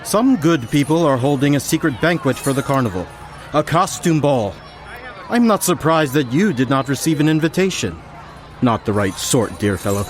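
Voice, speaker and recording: An actor playing a vain, snobbish carnival reveler and wine connoisseur in a radio play, pompous and dismissive. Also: male voice, mid-range voice, lively intonation, moderate pace, close to an everyday voice. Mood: haughty, disdainful.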